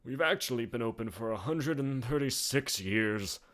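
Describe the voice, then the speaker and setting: A man's voice: medium pitch, animated, moderate pace, a bit bright, voice pushed up, natural voice. Man putting on a drawling old Western bartender. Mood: proud, boastful. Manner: drawling, folksy, theatrical. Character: folksy old male saloon bartender, boastful storyteller.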